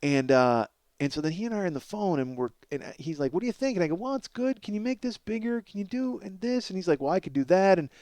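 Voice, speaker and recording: Male comedy podcaster, middle-aged. Higher-than-average pitch, dry close sound. Excitable, self-mocking, profane, trips over repeats while spinning long stories. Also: quick pace, no room sound. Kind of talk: rambling comedic monologue storytelling.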